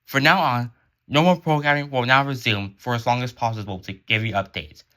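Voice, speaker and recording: A man's voice: mid-range voice, fairly quick, pushed and bright. A man reading scripted emergency alert narration in a formal, solemn announcer manner.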